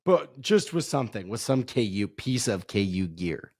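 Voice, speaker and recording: A young man co-hosts a casual sports podcast with a hoarse, raspy voice. He speaks in a relaxed, self-mocking way. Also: fairly low pitch, moderate pace. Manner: laid-back, self-deprecating. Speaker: podcast co-host.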